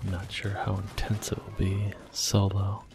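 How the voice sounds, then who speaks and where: A man softly talking through a video game in whispered ASMR style, slow and gentle. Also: deep voice, monotone, vocal fry, music in the background. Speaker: ASMR gaming channel host. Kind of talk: soft-spoken ASMR game commentary.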